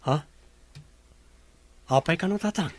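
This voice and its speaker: A man's voice: a little high, lively intonation, slow pace, darkish tone, no room sound. An actor playing a troubled, respectful young son questioning his father in an Ilocano audio drama. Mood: surprised, concerned.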